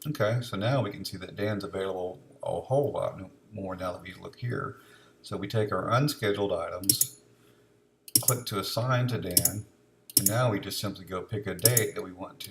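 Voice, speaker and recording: A man giving an informal, unscripted step-by-step software demonstration, explaining each step as he goes. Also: low voice, gravelly, noisy background.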